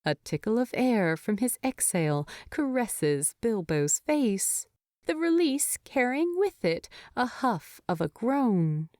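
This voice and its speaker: A woman narrating a story in an expressive, tender, unhurried way. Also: a little high, dry recording, clean studio sound. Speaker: fan fiction narrator.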